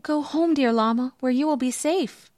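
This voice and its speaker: A woman's voice: medium pitch, animated, normal speed, dry recording, studio quality, close to an everyday voice. A woman voicing a brave, earnest young peasant girl in a storybook tale. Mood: frightened, tender.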